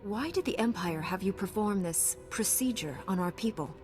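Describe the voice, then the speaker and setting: An actress playing a righteous young warrior knight in a video game, composed, firm and formal. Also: female voice, medium pitch, animated, moderate pace, music in the background, lightly acted. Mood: stern. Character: resolute, righteous young female Jedi knight. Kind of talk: scripted video game cutscene acting.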